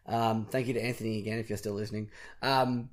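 A young man hosting a podcast in casual chat, his voice husky and raspy from a cold and singing, rambling and self-deprecating. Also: fairly low pitch, level tone, quick pace. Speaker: podcast host.

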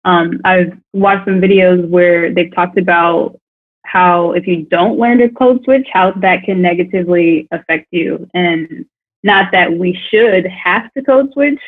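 A woman talking thoughtfully on a podcast, with many pauses and fillers. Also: on the low side. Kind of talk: casual chat. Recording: tinny phone-like line.

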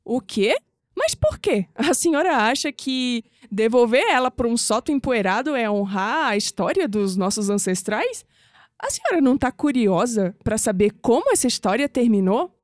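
An actress playing a curious, headstrong young granddaughter in an audio drama, expressive and with a Brazilian accent. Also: female voice, on the high side, moderate pace, no echo. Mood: insistent. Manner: expressive, thinking aloud.

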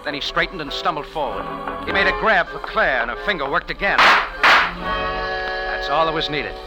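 An actor playing a tough, sardonic American cafe owner and amateur sleuth in 1940s radio noir style. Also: male voice, fairly high pitch, lively intonation, moderate pace, natural voice, rough recording. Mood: hardboiled narrating. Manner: hardboiled, sardonic, dry. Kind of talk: 1940s radio noir acting and first-person narration. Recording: old radio recording with music behind.

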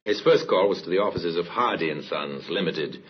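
An actor narrating a vintage radio mystery as a grave, ominous storyteller, measured and dramatic. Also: male voice, sits low, slightly rough. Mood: grave, portentous. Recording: dull vintage radio recording. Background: dramatic music.

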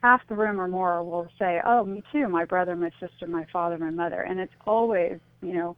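A woman's voice: lowish voice, fairly even intonation, brisk, dry recording. American woman on a phone-like line. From United States. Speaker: interview guest, suicide-loss advocate. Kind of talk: earnest radio interview conversation. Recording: muffled phone-like radio line.

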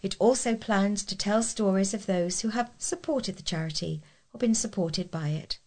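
A woman's voice: medium pitch, normal speed, darkish tone. A woman reading scripted local news as a volunteer newsreader.

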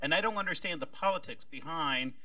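A man on a policy panel with a booming voice, asking pointed rhetorical questions. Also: brisk, pushed and bright, dry recording, far from the mic.

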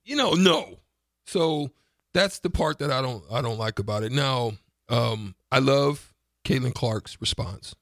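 Raspy-voiced man talking sports. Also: mid-range voice, animated, unhurried, a bit bright, dry recording, clean studio sound. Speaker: sports talk radio co-host. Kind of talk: casual sports talk.

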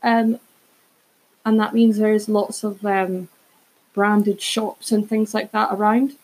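Young woman, raspy and creaky, casual podcast chat, rambling, full of self-aware asides. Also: medium pitch, flat delivery, slow, a little mellow, rough recording. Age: 20s. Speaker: podcast host. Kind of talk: casual chatty solo podcast.

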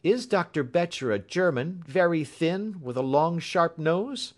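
A man's voice: medium pitch, very animated, measured pace, voice pushed up. A man voicing an earnest, shaken young engineer in an audiobook. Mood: urgent. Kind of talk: audiobook character acting.

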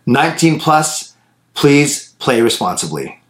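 A man reading a scripted sportsbook advertisement in a brisk, salesy delivery. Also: medium pitch, sing-song, a bit bright, echoey room. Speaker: ad announcer. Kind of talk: scripted ad read.

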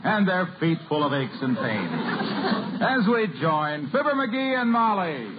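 A man's voice: sits high, big pitch swings, takes it slowly. A man with an American accent announcing and reading a scripted commercial in polished, emphatic 1950s radio salesman style. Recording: tinny, noisy vintage radio broadcast.

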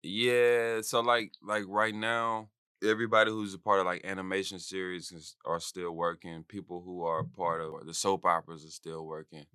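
A man's voice: low voice, little pitch movement, moderate pace, few pauses, bright, forceful tone, smooth. A man co-hosting a podcast, chatting casually and explaining things informally. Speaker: podcast co-host. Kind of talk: casual chat.

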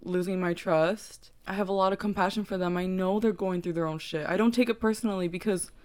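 A woman's voice: on the low side, fairly even intonation, a little fast, a little mellow, clear, resonant tone. A young woman co-hosting a casual podcast, talking earnestly and encouragingly, with the odd swear word. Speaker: podcast co-host. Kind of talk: casual chat.

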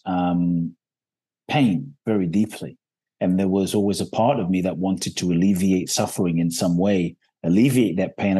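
A man telling his life story as a podcast guest, earnest and reflective, often pausing on "and so" and "uh". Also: fairly low pitch, dark tone, slightly rough. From Ghana.

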